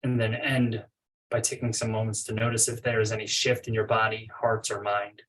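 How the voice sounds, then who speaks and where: A man leading a guided meditation as a coach, reading instructions in a measured way with pauses between phrases. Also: on the low side, level tone, a bit bright, recorded from a distance, poor audio. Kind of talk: guided meditation, read from a script.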